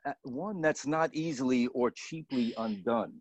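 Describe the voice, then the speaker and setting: A woman's voice: a bass voice, unhurried, darkish tone, slightly rough, dry recording, highs cut off, heavily acted. A woman acting a blunt, joking father of a grown daughter in a radio drama. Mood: blunt, stern.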